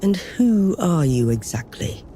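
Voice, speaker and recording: Stern, mellow-voiced field marshal woman. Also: on the low side, big pitch swings, unhurried, a little hiss or hum. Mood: skeptical. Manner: authoritative, curt. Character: stern, skeptical female field marshal, battle-worn commander. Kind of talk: video game cutscene acting.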